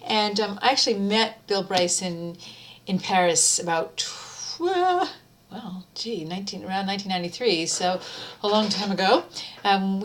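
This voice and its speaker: A woman's voice: mid-range voice, fairly bright tone. A middle-aged woman speaking informally, as someone sharing and reading a favorite book aloud in an amateur video. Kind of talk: casual chat and amateur read-aloud of a book.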